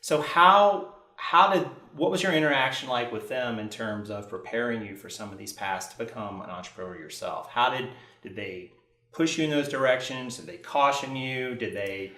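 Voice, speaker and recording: A man's voice: medium pitch, lively intonation, normal speed, bright, forceful tone, roomy sound. A man hosting an interview, asking long questions that wander and restart. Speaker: interview host.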